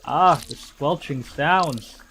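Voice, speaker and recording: A man's voice: fairly high pitch, slow, a bit bright, a little hiss or hum. A man from the Philippines streaming a game, reading narration aloud in a dramatic storytelling way and reacting to it.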